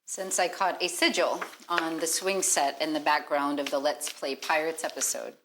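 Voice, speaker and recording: An actress playing an earnest librarian who investigates a sinister kids' show, speaking in an articulate, measured way in found-footage game style. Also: female voice, sits low, fairly even intonation, noisy background. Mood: grave, intent.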